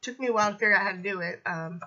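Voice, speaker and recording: A woman's voice: mid-range voice, rapid-fire, sharp tone, muffled recording. A young woman with a slight cold, sniffly and congested, talking casually about her latest book in a rambling way, full of ums and you knows. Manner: rambling, lots of fillers like um and you know. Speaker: self-published author vlogging. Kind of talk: casual update vlog.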